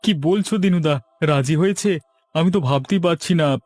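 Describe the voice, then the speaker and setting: An excitable cartoon village father, played by a man, with a high voice caught in a dry recording. His delivery is exclamatory. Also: moderate pace, dry recording, close to an everyday voice. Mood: delighted. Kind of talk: animated cartoon dialogue.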